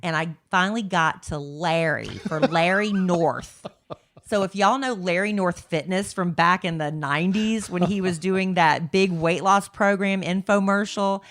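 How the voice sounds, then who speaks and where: A woman's voice: lowish voice, unhurried, hardly any pauses, fairly bright tone. A middle-aged woman, co-hosting a podcast in a chatty, rambling way. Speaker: podcast co-host. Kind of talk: casual chat.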